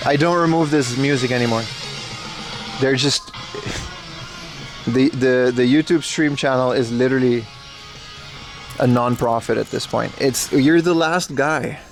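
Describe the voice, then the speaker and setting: A young man livestreaming as he browses a secondhand shop, chatting excitedly and thanking viewers, recorded on a handheld phone. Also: medium pitch, measured pace, long pauses, over music. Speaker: streamer. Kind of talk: casual IRL livestream chat.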